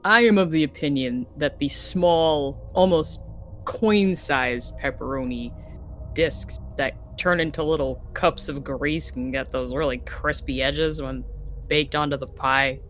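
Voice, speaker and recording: A woman's voice: low-pitched, unhurried, slight background noise. A woman playing in a casual tabletop game, chatting and teasing the others playfully. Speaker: player.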